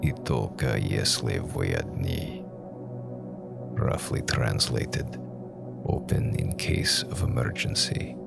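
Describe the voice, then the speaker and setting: Very deep, gravelly, cold scientist. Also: male voice, expressive, exaggerated voice. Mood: grave, resigned. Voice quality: very low, gravelly. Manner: formal, deliberate, clipped phrasing without contractions. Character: cold, ruthless older male scientist, weary and calculating. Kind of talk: audio drama acting. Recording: loud music under the voice. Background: dramatic music.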